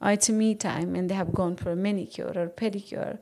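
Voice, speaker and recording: A woman's voice: medium pitch, fairly even intonation, a little fast, mellow. A woman from Nepal hosting a podcast, chatting informally and asking questions in a conversational interview style. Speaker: podcast host.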